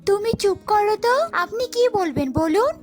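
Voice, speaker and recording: Casting: kindly, stubborn young cartoon princess, woman performer, extremely high voice, music behind. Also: lively intonation, moderate pace, darkish tone. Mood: kind, insistent. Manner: earnest, insistent. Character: kind, headstrong young princess. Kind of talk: children's cartoon voice acting.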